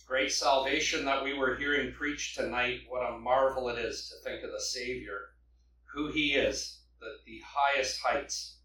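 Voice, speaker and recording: A man from Canada preaching, praying and reading scripture aloud with a loud open-air preaching voice, standing back from the microphone. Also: mid-range voice, slight background noise. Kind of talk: sermon with prayer and scripture reading. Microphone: microphone held back from the mouth. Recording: echoey hall, mic at a distance.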